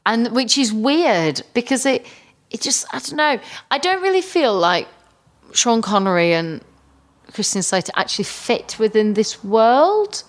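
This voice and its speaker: A woman's voice: on the high side, expressive, unhurried. A woman co-hosting a podcast, chatting casually about a film, thinking aloud with hedges and emphatic repetition. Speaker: podcast co-host. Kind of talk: casual film review chat.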